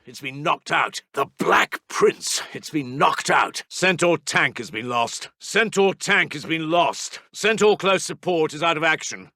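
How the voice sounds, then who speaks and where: A man's voice: on the high side, gravelly, bright, forceful tone, no room sound, studio quality, exaggerated voice. A man playing an urgent British Second World War intelligence officer for a video game, giving clipped, emphatic military reports. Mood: grave, dismayed. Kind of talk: video game voice lines, wartime battle reports.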